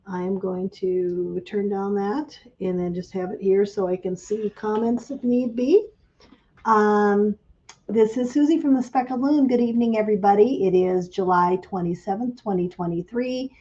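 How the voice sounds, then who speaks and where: A woman hosting a casual livestream for her craft business, slightly raspy from a cold and greeting viewers by name. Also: medium pitch, steady tone, normal speed, warm tone, highs cut off.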